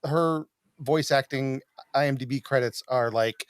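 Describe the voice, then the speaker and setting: A middle-aged man hosts a casual interview in a medium-pitched voice. He sounds close and dry, asking his questions in loose, chatty phrasing. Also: unhurried, clean tone, dry recording. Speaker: podcast host interviewing. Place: home room on a video call.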